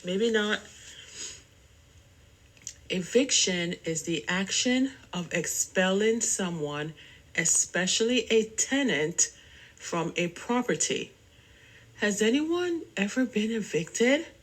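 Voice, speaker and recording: A woman with a slightly low voice hosts a casual solo podcast. She talks at an unhurried, slow pace. Her manner is chatty and rambling, addressing listeners directly. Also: fairly bright tone, rough recording. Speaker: podcast host.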